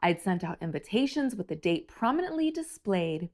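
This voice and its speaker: A woman reading a picture book aloud for children with expressive, theatrical emphasis. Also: medium pitch, normal speed. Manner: animated, theatrical emphasis for young listeners.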